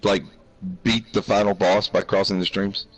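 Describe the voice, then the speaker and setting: Man, very deep voice, sounds sick, close-miked, casual gamer chat, faint music. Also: steady tone, moderate pace, low-quality recording. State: sick, voice lower than usual. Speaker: co-player on a gaming stream. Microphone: very close microphone.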